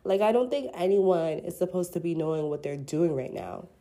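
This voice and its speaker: Congested young woman, mellow, slangy. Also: lowish voice, lively intonation, normal speed. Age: 20s. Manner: playful, slangy, chatty. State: congested from a cold. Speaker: podcast host. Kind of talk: casual solo podcast talk.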